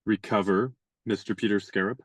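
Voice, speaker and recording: An actor playing a genial, gallant young gentleman adventurer in a radio play, courteous and wry in measured speech. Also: on the low side, fairly even intonation, natural voice.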